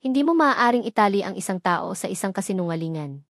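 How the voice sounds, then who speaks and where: Worried older father, gentle and firm, read by a woman storyteller. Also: medium pitch, animated, fast talker, no room sound, clean studio sound. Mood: calm.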